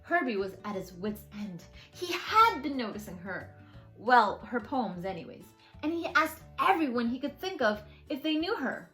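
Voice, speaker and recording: Very lively, high-pitched woman reading storybooks. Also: soft background music. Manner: expressive, drawn-out phrasing for children. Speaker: host reading a picture book aloud. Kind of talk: animated children's storybook narration.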